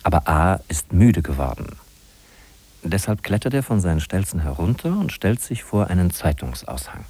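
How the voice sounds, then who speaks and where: Confiding detective narrator, deep mellow voice, husky, fifties radio play. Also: male voice, very animated, normal speed, no room sound, full character voice. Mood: confiding, observant. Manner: confiding, addresses the listener. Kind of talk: 1950s radio drama narration.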